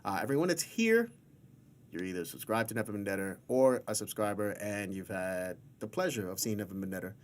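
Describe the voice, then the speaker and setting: A man's voice: fairly low pitch, animated, brisk, slight background noise. A man hosting a casual live podcast, chatty and jokey, often repeating his phrases. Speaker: podcast host.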